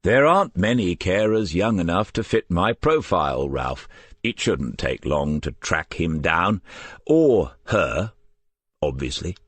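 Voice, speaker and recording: A man's voice: sits low, sing-song, measured pace, a bit bright, husky, dry recording, exaggerated voice. A man playing a shabby, canny police detective sergeant in an audiobook, questioning in a frank, coaxing way. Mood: musing, coaxing.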